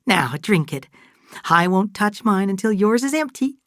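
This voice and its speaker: A woman's voice: medium pitch, expressive, measured pace, no echo, clean studio sound, pitched above the performer's own voice, close to an everyday voice. A woman voicing an emotional, doting older mother in a storybook, gushing and insistent as she talks. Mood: merry, coaxing. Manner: gushing, exclamatory. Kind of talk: dramatised audiobook dialogue.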